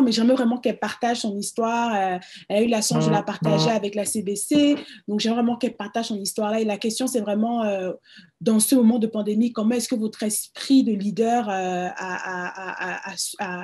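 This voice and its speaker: Halting woman hosting. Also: medium pitch, a little mellow, slightly rough. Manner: hesitant, many repeated words. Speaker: forum host. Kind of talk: hosting a community radio forum. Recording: livestreamed community radio talk.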